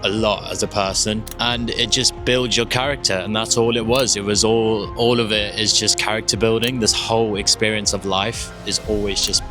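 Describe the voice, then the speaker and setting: Young South African guy, low-pitched, candid vlog narration, slight stutter, guitar music underneath. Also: little pitch movement, moderate pace, few pauses. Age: young adult. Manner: candid, casual, with swearing. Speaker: vlogger. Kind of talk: reflective personal narration.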